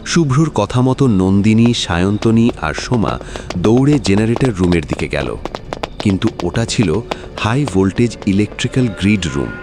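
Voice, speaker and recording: A man's voice: lowish voice, lively intonation, normal speed. A man narrating a suspense thriller audio story in a dramatic scripted style. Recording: music behind the voice. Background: suspense music.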